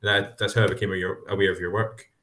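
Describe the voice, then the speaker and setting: Heard first through a roomy echo, a man co-hosts a casual podcast chat over a video call. His voice sits a little low, and he rambles, restarting sentences and wandering into asides as he talks. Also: flat delivery, rapid-fire, bright, forceful tone. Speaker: podcast co-host. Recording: echoey room.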